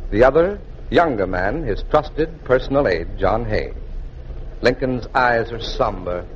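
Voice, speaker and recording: A man's voice: medium pitch, animated, unhurried. A man announcing and narrating a 1940s radio program with formal, dramatic, scripted delivery. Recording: muffled, noisy 1940s radio transcription.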